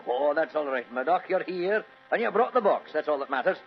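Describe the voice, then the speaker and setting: A hearty old Scottish grandfather of the landed gentry, pitched high and swinging widely in tone. He exclaims his way through an old radio play on a dull vintage recording. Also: lively intonation, fairly quick, soft background music. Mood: jovial, hearty. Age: older. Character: jovial old Scottish baronet, hearty grandfather, excitable host. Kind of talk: old-time radio drama acting.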